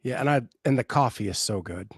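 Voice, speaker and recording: A man's voice: mid-range voice, expressive, a little fast, gravelly, slightly rough, no room sound, studio quality. Easygoing male podcast host. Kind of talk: casual chat.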